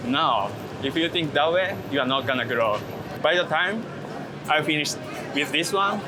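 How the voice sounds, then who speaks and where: A man's voice: on the high side, expressive, normal speed. A man from Japan with a Japanese accent, talking casually in an interview and often searching for English words. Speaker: interview guest, street photographer. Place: outdoors in a busy city park. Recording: outdoor city bustle with traffic.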